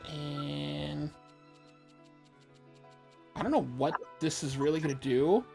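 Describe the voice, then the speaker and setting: A woman's voice: low voice, slow pace, vocal fry, music in the background. A woman chatting casually as she plays a video game and comments on it. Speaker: game player. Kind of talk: casual gameplay chat.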